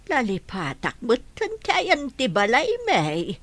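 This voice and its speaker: A woman's voice: medium pitch, huge pitch swings, no room sound, exaggerated voice. Woman playing a faltering, forgetful old grandma. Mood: confused. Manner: halting, faltering, repeats herself. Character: forgetful hungry old grandmother, lost and confused. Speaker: radio drama actor. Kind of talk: radio drama acting.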